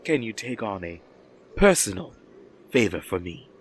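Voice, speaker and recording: Casting: male actor as composed government recruiter, low voice, measured delivery, audio drama. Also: sing-song, a little hiss or hum. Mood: calm, businesslike. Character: calm, measured official recruiting heroes.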